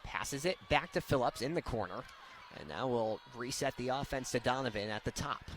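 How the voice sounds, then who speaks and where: A young man calling live basketball play-by-play as a radio announcer, with an excitable delivery that swells on big plays. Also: medium pitch, moderate pace, a little hiss or hum. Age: young adult. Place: courtside at indoor basketball game.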